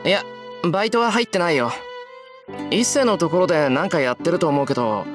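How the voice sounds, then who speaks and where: A blunt teenage boy from a visual novel, voiced by an actor, grumbling drily in an exasperated way. He rattles his words out very fast over clearly audible music. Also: mid-range voice, lively intonation, lightly acted. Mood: casual. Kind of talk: Japanese visual novel voice acting.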